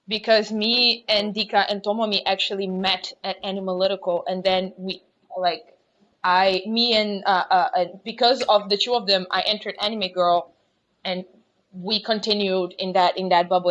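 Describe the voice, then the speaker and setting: A woman's voice: medium pitch, steady tone, moderate pace, fairly bright tone. A young woman in her twenties chats frankly and brashly as a podcast co-host. Her audio comes through slightly muffled and lo-fi. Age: 20s. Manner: upbeat, candid, brash. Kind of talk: casual panel chat.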